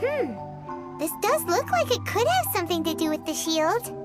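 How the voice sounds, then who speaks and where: A woman's voice: very high voice, very animated, moderate pace. A woman voicing a tiny, cheeky, childlike fairy companion in a video game. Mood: curious, skeptical. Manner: speaks of herself in the third person. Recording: prominent tinkling music behind the voice.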